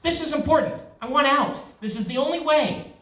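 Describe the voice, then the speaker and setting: A man's voice: very high voice, fairly quick, pushed and bright, pitched above the performer's own voice. A man reading the part of an anxious, insistent conspirator in a fantasy tale. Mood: urgent, protesting. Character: nervous, urgent male conspirator in fantasy tale. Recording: echoey event room, distant microphone.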